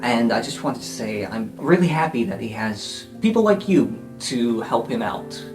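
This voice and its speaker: A man's voice: mid-range voice, expressive, normal speed, close to an everyday voice, poor audio. A man voicing a kindly, awkward middle-aged guardian in a tabletop game, speaking hesitantly and earnestly. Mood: earnest, grateful. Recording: guitar music under the voice.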